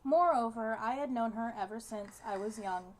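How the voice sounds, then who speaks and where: A woman's voice: on the high side, moderate pace, poor audio. A woman reading a visual novel's narration aloud as part of a game playthrough. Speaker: gaming video co-host.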